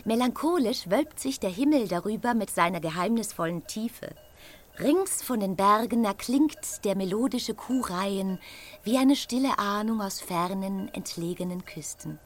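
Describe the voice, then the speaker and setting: An actress playing a poetic narrator, reading scripted literary scenery in a measured, lyrical way. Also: female voice, medium pitch, lively intonation, natural voice. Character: poetic female narrator of romantic landscapes.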